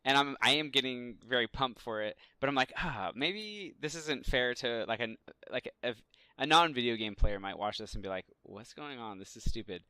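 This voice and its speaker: A man co-hosting a podcast, talking casually and excitably like a gushing fan. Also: medium pitch, normal speed, clean tone, dry recording. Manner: gushing, excitable geek talk. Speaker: podcast co-host.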